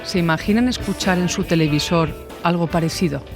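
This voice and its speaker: A woman's voice: on the low side, a little fast, music in the background. Woman, true-crime podcast host, journalist, storytelling narration, personal asides.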